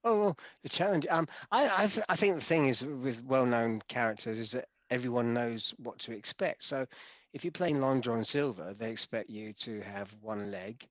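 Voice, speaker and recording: An actor talking in a casual podcast, relaxed and laughing often. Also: male voice, medium pitch, moderate pace, no echo. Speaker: actor guest.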